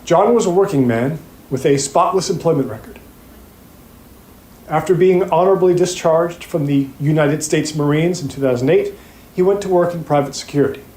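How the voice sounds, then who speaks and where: An actor playing a methodical, pointed prosecutor delivering an opening statement to a jury in an audio drama, speaking deliberately with rhetorical pauses. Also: male voice, a little high, darkish tone, close to an everyday voice. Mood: measured, serious. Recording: roomy, echoing sound.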